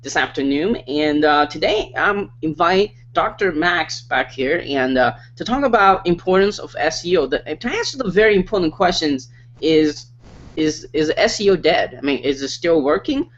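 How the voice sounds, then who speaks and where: Excited guy hosting an online hangout, kinda high voice, muffled sound. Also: normal speed. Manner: hyped, salesy, many repetitions and fillers. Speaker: interview host. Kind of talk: online interview.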